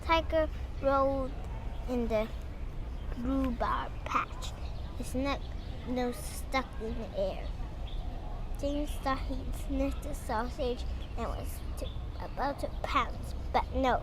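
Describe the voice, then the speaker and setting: A young girl reading a storybook aloud in a halting way, stumbling over words. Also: high voice, noisy background. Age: child. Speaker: young reader.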